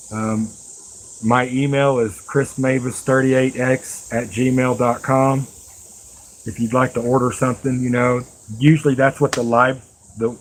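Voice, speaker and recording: A man's voice: medium pitch, noisy background. A middle-aged man chatting casually and folksily to live stream viewers as he works with his hands, rambling along as he talks to them directly. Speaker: live streamer and knife maker. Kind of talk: casual live stream chat while working.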